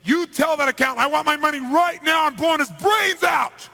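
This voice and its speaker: Man playing a shouting, enraged mafia boss. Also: very high-pitched, fairly quick, creaky, big-room sound, some chatter behind, voice pushed up, heavily acted. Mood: furious. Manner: shouting, menacing, demanding. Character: enraged, impatient male mafia boss making threats.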